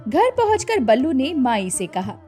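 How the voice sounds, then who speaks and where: A woman narrating a scripted children's cartoon story in a slow, expressive way. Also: high-pitched, faint music behind.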